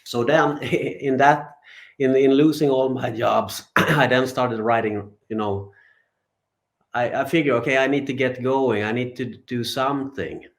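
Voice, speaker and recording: A man in his forties from Finland, a guest musician giving relaxed, rambling interview answers. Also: medium pitch, a little slow, gravelly. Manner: relaxed, rambling, many fillers and restarts.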